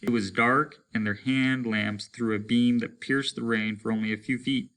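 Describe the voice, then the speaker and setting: A man narrates a short story aloud in the manner of a teacher reading to a class. His voice is bright and forward, with a mid-range pitch. The delivery is dramatic scripted storytelling. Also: fairly even intonation, moderate pace, clear, resonant tone. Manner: acts out dialogue with raised voices. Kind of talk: dramatic audiobook-style narration.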